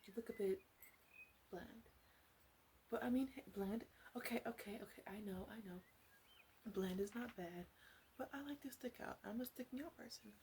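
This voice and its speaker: A woman playing a flirty, blunt witch in an ASMR roleplay, speaking softly and hesitantly and often dropping into whispers. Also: a little high, normal speed, long pauses, a little hiss or hum, voice pushed up, lightly acted. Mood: teasing, candid.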